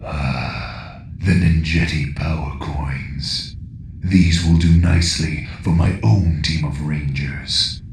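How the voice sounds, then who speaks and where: A man playing a menacing, scheming alien emperor in an audio drama, slow and commanding, with a gravelly voice. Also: full character voice. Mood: gloating, scheming. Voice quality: very deep, gravelly. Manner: slow, imperious. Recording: distant echoing voice, faint noise.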